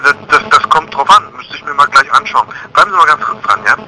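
A man's voice: fairly high pitch, expressive, fast, sharp tone. A man working as a courier service agent, handling a customer call on the phone. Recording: noisy telephone line, harsh sound.

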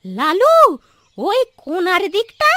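A woman's voice: extremely high pitch, wildly swooping pitch, slow, sharp tone, dry recording, heavily acted. A woman voicing an anxious old village grandmother, cautioning and giving instructions in a cartoon story.

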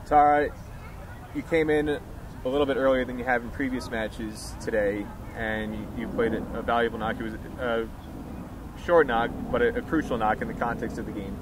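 A man interviewing athletes after a match, putting questions in measured, deliberate phrases, recorded outdoors. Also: medium pitch, fairly even intonation. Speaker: sports interviewer. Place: outdoor sports ground. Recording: outdoor background noise.

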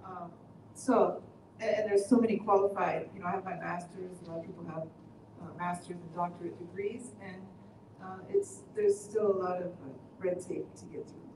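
A woman jazz musician and university teacher on a panel, speaking in a measured, thoughtful way with fillers. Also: lowish voice, gravelly. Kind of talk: panel discussion. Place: large indoor studio room, seated panel. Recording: roomy, distant, slightly noisy.

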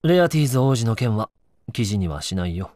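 An actor playing a courteous, inquisitive newspaper reporter in a Japanese video game, a man with a measured way of talking. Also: on the low side, big pitch swings, a little mellow, no echo, studio quality. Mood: casual, wry. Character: courteous, probing young newspaper reporter interviewing royalty.

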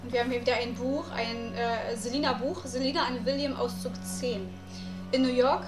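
A woman's voice: a little high, steady tone, moderate pace, echoey room, music in the background. A young woman doing casual let's play commentary, thinking aloud through puzzles at home on a mic. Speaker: let's player.

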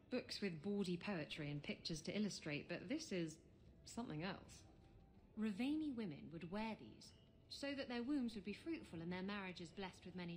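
A sassy flirty seafaring lady in a video game, slow teasing talk, some background noise and ambience. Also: medium pitch, a little mellow. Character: flirtatious, irreverent adult female seafaring adventurer, warm to friends. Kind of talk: video game dialogue acting.

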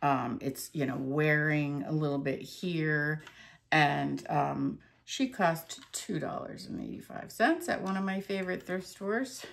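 A woman's voice: sits low, gravelly, fairly bright tone. A middle-aged woman casually showing off thrift store finds, chatty and hesitant with plenty of fillers. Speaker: antique reseller showing a thrift haul. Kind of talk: casual show-and-tell vlog.

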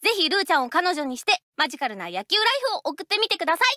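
Very high, bright, quick-talking woman. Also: big pitch swings, no room sound, clean studio sound, faint music behind. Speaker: voice actress introducing her character. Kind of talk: cute promotional greeting.

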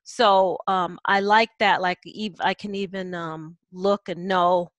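A woman's voice: on the low side, fairly even intonation, takes it slowly, no echo. An older woman hosting a casual chat, enthusiastic and laughing often. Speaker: host. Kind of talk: casual video-call chat.

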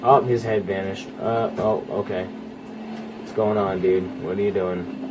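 A man's voice: deep voice, level tone, a little slow. A man giving casual, profane commentary as he plays a horror game, talking straight at the game. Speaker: gamer playing and commenting. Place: indoors, filming a TV screen while playing. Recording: noisy room capture with game sound.

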